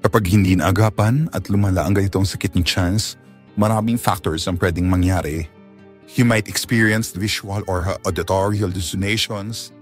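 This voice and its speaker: Brief: male actor as low-voiced doctor, clinical and measured, grave Filipino audio drama, music underneath. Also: natural voice. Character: calm male physician explaining a grave diagnosis.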